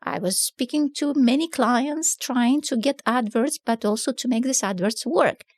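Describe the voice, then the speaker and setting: A middle-aged woman from southern Russia with a Russian accent, a newspaper publisher telling her story in a relaxed interview, with many fillers and non-native grammar. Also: fairly high pitch, a little slow, no echo, studio quality. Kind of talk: casual conversational storytelling.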